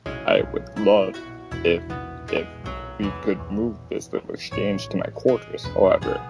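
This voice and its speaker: A man voicing a seductive, courteous male lover for a fiction reading, gravelly and intimate. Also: on the low side, steady tone, unhurried. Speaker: guest voice actor. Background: plucked guitar music.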